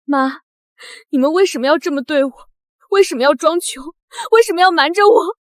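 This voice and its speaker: An actress playing a wronged eighteen-year-old daughter, bitter and proud, in a melodramatic short drama. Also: female voice, sits high, fairly quick, no room sound, clean studio sound, natural voice. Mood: wounded. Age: young adult.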